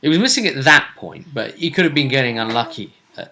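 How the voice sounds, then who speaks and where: A man casually recapping a tabletop role-playing tournament as its host, telling it in halting bursts with dramatic repetition. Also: medium pitch, sing-song, sharp tone. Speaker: host and game master.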